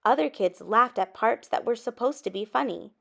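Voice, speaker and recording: A woman reading a children's story aloud expressively, with dramatic emphasis and drawn-out words. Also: a little high, animated, vocal fry, a bit bright. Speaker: storybook reader.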